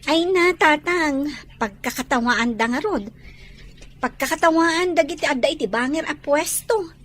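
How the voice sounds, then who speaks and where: A woman playing an excitable, nosy village relative in an Ilocano comedy drama. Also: high-pitched, normal speed. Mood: gossipy amused.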